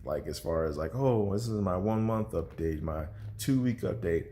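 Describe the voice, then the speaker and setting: A man's voice: sits low, animated, normal speed, warm tone. A congested young man vlogging casually at home, rambling with lots of fillers and restarts. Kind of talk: casual vlog chat to camera.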